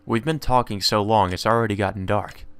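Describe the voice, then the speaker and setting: A man's voice: fairly low pitch, fairly even intonation, a little fast, a bit bright, smooth, no echo. A man reading visual novel narration aloud as the narrator of a let's play.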